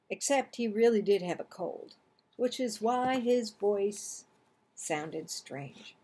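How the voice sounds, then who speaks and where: Slow, husky older woman reading aloud. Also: medium pitch. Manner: expressive, acts out dialogue and action. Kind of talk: children's book read-aloud. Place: small home room, indoors.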